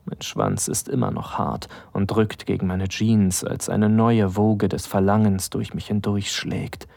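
An actor playing an aroused, hesitant young man in love with his best friend, narrating an intimate audio drama in a hushed, breathy voice that often slips into whispers. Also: lowish voice, unhurried, no echo, studio quality. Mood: tender, aroused.

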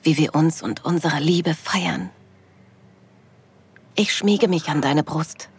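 Actress as loving wife, low soft voice, breathy half-whispers, intimate audio drama narration, ambient noise behind. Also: female voice. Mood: moved. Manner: soft, breathy delivery, often dropping to a whisper. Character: loving wife, happily moved, narrating her anniversary trip tenderly.

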